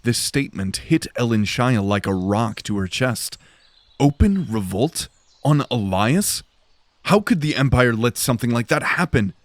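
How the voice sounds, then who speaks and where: A man's voice: medium pitch, animated, unhurried, dry recording. A man giving dramatic scripted narration for a fantasy audio drama.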